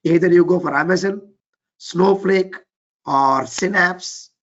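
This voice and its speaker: A man with an Indian English accent teaching a live technical class. His voice is weak and low, and he keeps repeating his cues to move on step by step. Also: takes it slowly, fairly bright tone, dull audio. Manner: repetitive, keeps saying "let's get started, step by step". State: voice a bit weak and low from overuse. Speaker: trainer.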